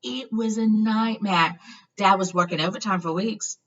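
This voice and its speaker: Woman doing excitable young wizard kid for storybook, eager chatty, bright voice, dull lo-fi sound. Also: mid-range voice, a little slow, pitched above the performer's own voice. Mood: excited. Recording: dull lo-fi, far from the mic.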